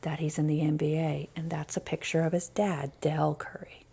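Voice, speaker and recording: Lower-voiced American woman teacher reading aloud. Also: level tone, normal speed, gravelly, dark tone, slightly rough. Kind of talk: children's read-aloud lesson with asides.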